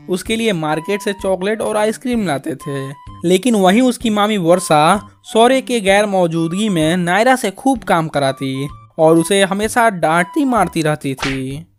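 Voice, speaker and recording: A woman reading scripted narration for a cartoon story. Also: mid-range voice.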